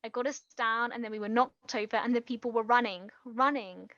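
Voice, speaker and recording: An actress playing an earnest, guilt-ridden young woman, halting and pleading, in a naturalistic stage drama. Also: a little high, fairly bright tone, dry recording, dull audio. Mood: anguished, remorseful.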